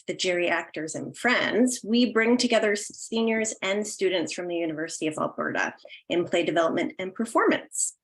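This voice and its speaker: A woman's voice: on the low side, a bit bright, rough recording. A woman with a Canadian accent giving an informal online presentation as a theatre director, in a friendly, welcoming way. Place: indoors, online video call.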